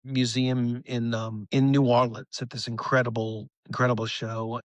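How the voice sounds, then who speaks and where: A man's voice: medium pitch, flat delivery, normal speed, dry recording. An American man talking casually as an interview guest, earnest and rambling, often repeating a phrase as he tells his story. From United States. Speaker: rock musician, interview guest.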